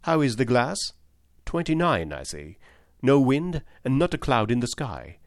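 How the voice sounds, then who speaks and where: A man reading aloud, voicing a keen, cool-headed detective who speaks calmly with dry assurance. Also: medium pitch, a little slow, no echo, voice pushed up. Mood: calm assured. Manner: calm, dryly assured.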